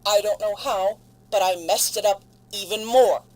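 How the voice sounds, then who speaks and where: A woman playing a frightened, foul-mouthed stranded spaceship crew member, recording halting, weary log entries in an audio drama. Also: medium pitch, darkish tone, close to an everyday voice, poor audio. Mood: frustrated, angry.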